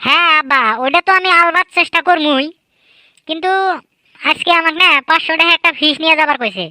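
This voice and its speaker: Cartoon village son , female performer: sly teenage boaster, high and piercing, chatty slang. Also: quick pace, pushed and bright, no echo. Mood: pleading, dutiful. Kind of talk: comedy cartoon voice acting.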